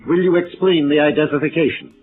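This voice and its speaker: An American man playing a formal, methodical prosecuting attorney in a 1950s radio drama, deliberate and courteous. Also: a little high, soft background music, close to an everyday voice. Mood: formal, probing. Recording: narrow, tinny vintage radio recording.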